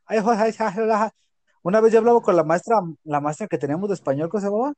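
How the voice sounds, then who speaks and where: Man, middle-aged, Jalisco Mexican accent, animated storyteller, crude slang, fairly high pitch, dry sound. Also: rapid-fire, no echo. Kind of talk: casual chat.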